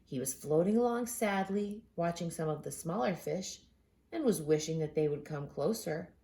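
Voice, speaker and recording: A woman reading a children's picture book aloud expressively, with dramatic pauses and drawn-out words for emphasis. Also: fairly low pitch, expressive, vocal fry, dark tone. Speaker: children's storybook reader.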